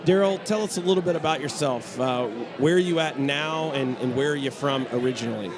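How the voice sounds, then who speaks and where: A man's voice: on the high side, brisk. A man hosting a soccer podcast, chatting casually with a guest and often restarting his words. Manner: friendly, stammering restarts. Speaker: podcast host. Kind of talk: casual interview. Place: busy bar at a conference. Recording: loud bar crowd and music. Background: loud crowd chatter and music.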